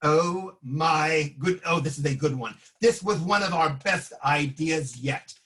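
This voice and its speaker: A man's voice: on the high side, a little slow, a bit bright. A man hosting a comedy show, giving a playful introduction in an exaggerated, theatrical delivery.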